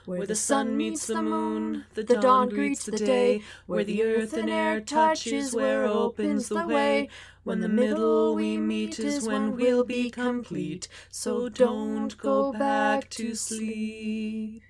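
A woman sings a gentle lullaby in a fairly high voice, drawing the words out very slowly. Music plays loudly beneath her. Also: steady tone. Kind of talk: singing a gentle lullaby-like song. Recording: loud music under the voice. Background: loud music.

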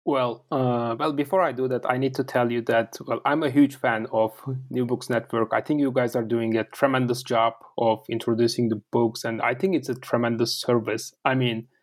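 A man from Turkey with a Turkish accent, a guest author on an interview podcast, talking in a rambling way with many fillers and repeated words. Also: medium pitch.

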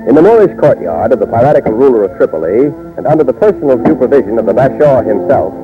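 Casting: male old-time radio narrator, dramatic and lively, scripted delivery, phone-like vintage sound. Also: medium pitch, quick pace. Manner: dramatic, declamatory. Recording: tinny old radio broadcast. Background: music.

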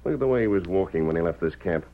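An actor playing an earnest, commanding masked cowboy hero in an old-time radio western, reasoning aloud in crisp, formal sentences. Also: male voice, low voice, lively intonation, rapid-fire. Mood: earnest, pondering. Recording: tinny, narrow-band vintage radio recording.

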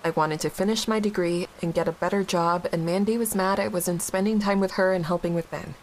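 A woman acting a grieving, guilt-ridden young widowed mother in an audio drama, halting and emotionally raw. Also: fairly low pitch, steady tone, natural voice, rough recording. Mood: bitter, angry. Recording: clear background hiss.

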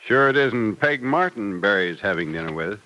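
Folksy old radio-serial codger, swooping delivery. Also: male voice, lowish voice, animated, moderate pace, sharp tone, poor audio. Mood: skeptical. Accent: American. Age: older. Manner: folksy, homespun phrasing. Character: folksy, stubborn, wry old man, about sixty, suspicious. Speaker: radio drama actor. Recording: vintage radio recording.

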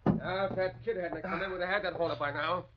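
A high, rapid-fire gangster henchman played by a man, defiant and rough-talking. He spits agitated slang in old radio drama style, on a noisy vintage recording. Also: steady tone, highs cut off, close to an everyday voice. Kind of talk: 1940s radio drama acting.

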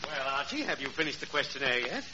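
An actor playing a genteel, smooth-talking salesman in a 1940s radio comedy, with a prim, courteous and ingratiating manner. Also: mid-range voice, very animated, fast talker, pushed and bright. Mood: polite, prim. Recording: noisy, muffled old radio recording.

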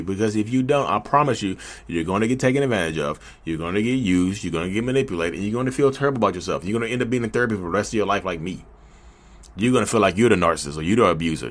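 A man's voice: medium pitch, a bit bright. A man hosting a podcast, talking casually and emphatically to his listeners and repeating his points for stress. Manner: emphatic, repeats himself, addresses listeners as y'all. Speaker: podcast host. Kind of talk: casual motivational monologue.

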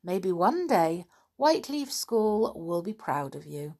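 A woman reading aloud and voicing a young, pretty, cheerful schoolmistress who speaks warmly and laughs easily. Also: lowish voice, sing-song, slow, full character voice. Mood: kindly. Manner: warm and laughing.

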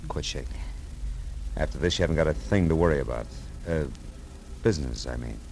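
An American actor playing a world-weary, wry private detective in a 1940s radio drama, with a clipped delivery. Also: male voice, extremely low pitch, a little fast, a little mellow, a little hiss or hum. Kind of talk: 1940s radio drama acting and first-person narration. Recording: vintage radio recording, faint music.

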